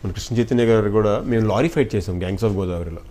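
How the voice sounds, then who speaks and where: Low-voiced Telugu man, earnest interviewee. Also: fast talker, dark tone, a little hiss or hum. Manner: earnest, effusively thankful. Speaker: film actor being interviewed. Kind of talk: interview chat mixing Telugu and English.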